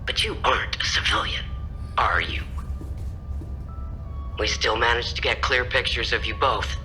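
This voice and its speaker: An actor playing a taunting, threatening intelligence officer in a video game cutscene, speaking in a measured way. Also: male voice, mid-range voice, pushed and bright, faint music behind. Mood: quietly menacing. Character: menacing, taunting male intelligence officer hunting two intruders.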